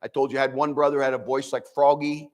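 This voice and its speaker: An older man from the American Midwest with a nasal voice, preaching a sermon as pastor in a folksy, animated way with many restarts. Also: mid-range voice, fast talker. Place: church.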